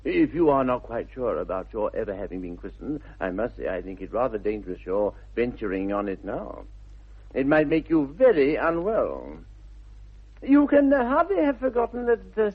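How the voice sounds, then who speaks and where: An actor playing a flippant, idle young English gentleman with an upper-class accent and airy, mock-earnest wit, in 1960s radio comedy style. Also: a little high, breathy edge. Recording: muffled narrow-band vintage radio recording.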